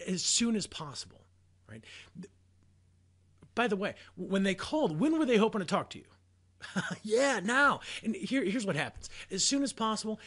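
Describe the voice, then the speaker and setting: A man with an American accent giving a motivational sales lesson as a coach, phrasing things emphatically and deliberately with rhetorical questions. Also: sits high, fairly bright tone. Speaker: sales coach presenting a training video. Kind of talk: motivational instructional talk to camera.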